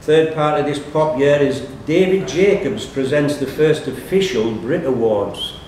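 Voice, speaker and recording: A man's voice: medium pitch, measured pace, a little mellow. A man acting as quiz master, reading out questions and ruling on answers live in a pub. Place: pub quiz room, indoors. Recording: very echoey pub room, distant mic, faint noise.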